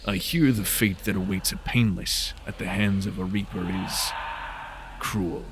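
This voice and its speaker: Man playing a slow, gravelly, cold detective. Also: low-pitched, fairly even intonation, slight background noise. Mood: cool menace. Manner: slow, menacing, contemptuous. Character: cold, hard, vengeful male supernatural detective. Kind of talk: fantasy horror audio drama acting.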